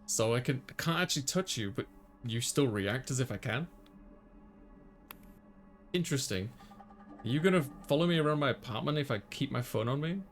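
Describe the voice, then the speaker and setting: A man's voice: medium pitch, lively intonation, fairly quick, long pauses, faint music behind. A man hosting a gaming video, reading visual novel text aloud and adding casual asides.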